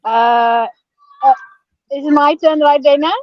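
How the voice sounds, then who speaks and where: Guest: flustered woman, very high voice, muffled lo-fi call sound. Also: fairly even intonation, unhurried, no room sound. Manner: apologetic, flustered. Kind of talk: casual chat on a video call. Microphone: video call. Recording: dry, dull lo-fi call audio.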